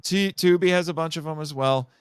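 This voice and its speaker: A man's voice: a little high, fairly quick, clean tone, dry recording, studio quality. A man talking casually on a podcast as a guest expert, explaining enthusiastically and wandering into side points.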